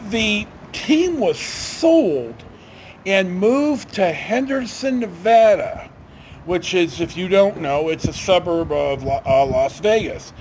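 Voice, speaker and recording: An older, retired man telling stories casually to camera in a halting, rambling, self-correcting way. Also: high-pitched, expressive, darkish tone, a little hiss or hum, poor audio. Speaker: video storyteller.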